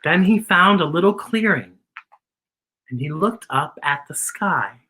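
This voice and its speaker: A woman hosting children's story time, reading a picture book expressively and deliberately explaining it to young listeners. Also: on the low side, animated, pushed and bright, husky.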